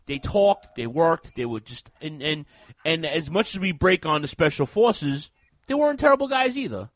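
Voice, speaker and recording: Lively, animated man chatting about wrestling. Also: fairly high pitch, normal speed, no echo. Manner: animated, rambling with restarts, bursts into singing. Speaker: podcast co-host. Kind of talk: casual wrestling chat.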